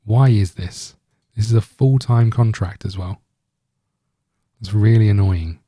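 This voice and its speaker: Mellow, low-voiced man doing game commentary. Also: a little slow, no echo, clean studio sound. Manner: rambling, thinking aloud. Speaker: gaming video host. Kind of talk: casual let's-play commentary.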